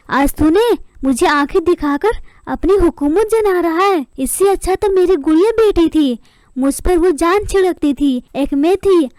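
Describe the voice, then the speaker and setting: A woman's voice: high voice, darkish tone, no room sound. A woman voicing an aggrieved, remorseful mother bird in a melodramatic cartoon, pleading and lamenting.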